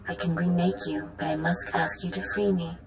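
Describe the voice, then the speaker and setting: A woman playing an ancient godlike machine mother in a video game, speaking in a measured, stately, maternal way, proud and tender. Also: little pitch movement, lightly acted. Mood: tender, imperious. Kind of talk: dramatic video game acting. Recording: roomy echo, faint rumbling noise.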